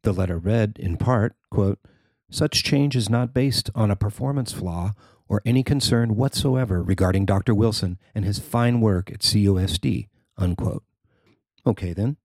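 A man who works as a newspaper reporter, reading his own article as scripted narration in a measured, formal way. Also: lowish voice, darkish tone, dry recording.

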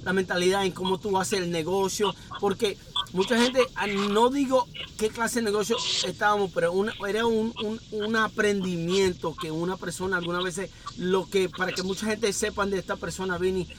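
A high-pitched man with an Ecuadorian Spanish accent, touched with Dominican turns, talks from inside his car. He chats in a meandering way as a podcast host, with faint street sounds around him. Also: steady tone, moderate pace, slight background noise. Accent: Ecuadorian Spanish with some Dominican touches. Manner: chatty, meandering storyteller. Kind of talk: casual interview chat.

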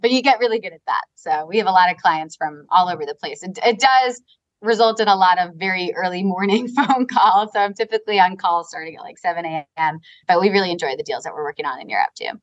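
A woman with a Long Island accent, answering interview questions in a fluent, rapid business manner. Her speech is dotted with "like" and "you know" as she explains her work over what sounds like a remote call. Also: lowish voice, highs cut off. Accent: Long Island New York. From Long Island, New York. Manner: fast, fluent, filler-heavy with frequent "like" and "you know". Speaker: guest, real estate advisory co-founder.

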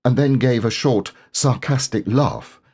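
A man reading a children's story aloud as narrator, in a measured, careful and expressive way. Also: mid-range voice, breathy edge. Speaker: audiobook narrator, voice actor.

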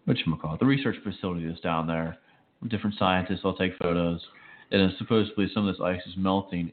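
Brief: low, gravelly male co-host, dry ribbing, tinny phone-like line. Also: a little hiss or hum. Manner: dry teasing, halting restarts. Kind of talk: casual radio chat. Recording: phone-like narrow band.

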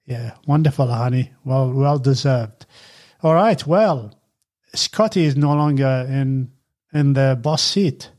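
A middle-aged man with a husky voice hosts a casual chat. He talks in a relaxed, halting way, with plenty of fillers and restarts. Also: medium pitch. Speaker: podcast host, father.

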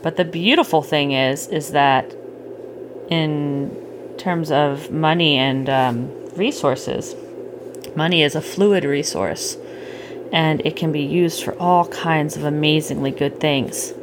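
Low-pitched mother explaining, noisy recording. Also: slow pace. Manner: gently explanatory, checks in with questions. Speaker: parent hosting a bedtime story podcast. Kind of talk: casual bedtime chat with a child. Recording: clearly noisy home recording.

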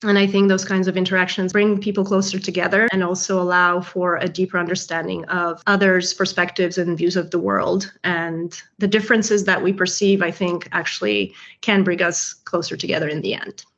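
A woman from Poland, a guest in a podcast interview, describing her career in a measured, articulate way. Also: lowish voice, little pitch movement, a bit bright. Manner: articulate, measured professional self-presentation. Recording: dull lo-fi audio.

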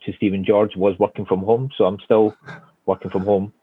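A man's voice: fairly low pitch, level tone, brisk, breathy edge, no echo. Hesitant Glaswegian man on a tinny line. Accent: Glaswegian Scottish. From Scotland. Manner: hesitant, many fillers and restarts. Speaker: guest architect. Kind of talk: casual interview answers. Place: home office at home. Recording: tinny phone-like line, dry.

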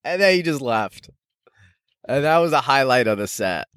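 A man's voice: mid-range voice, lively intonation, moderate pace, a bit bright, smooth, no room sound, clean studio sound. A man hosting a solo podcast, rambling casually and loosely in informal, sweary language like an amateur comedian.

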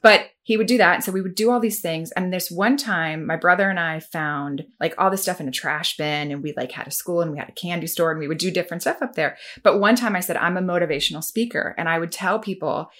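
A woman's voice: lowish voice, quick pace, hardly any pauses, a bit bright. A woman hosting a casual chat, talking with enthusiasm about her childhood and about destiny. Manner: enthusiastic, reflective talker. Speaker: host.

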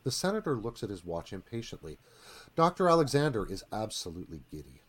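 A man narrates a screenplay for radio theatre. His voice is on the low side, with animated rises and falls and a dramatic delivery. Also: fast, husky.

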